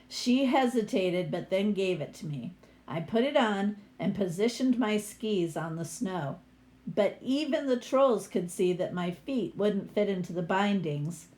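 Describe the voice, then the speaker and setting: A woman's voice: mid-range voice, expressive, darkish tone. A woman reading a picture book aloud as a teacher would, with explanatory asides to young listeners. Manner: unhurried, explanatory.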